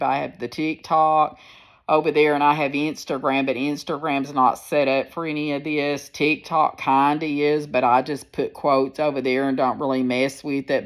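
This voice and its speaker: Deep-voiced woman in her sixties. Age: 60s. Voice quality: deep, firm voice. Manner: candid, rambling, plain-spoken. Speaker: personal vlogger. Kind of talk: casual personal storytelling to viewers. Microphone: phone.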